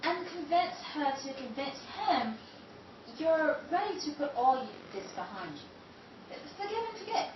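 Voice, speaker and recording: A woman's voice: sits high, sing-song, measured pace. A woman playing a genteel, insinuating co-conspirator in an audio drama, with an affected theatrical delivery. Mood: sly. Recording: very echoey, muffled, noisy distant recording.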